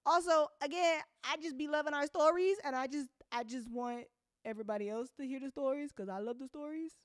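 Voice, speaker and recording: A woman's voice: sits high, a little fast, no echo, clean studio sound. A woman talking casually and off the cuff as an audio drama producer updating fans, candid, colloquial and full of swearing, emphatic when she makes a point.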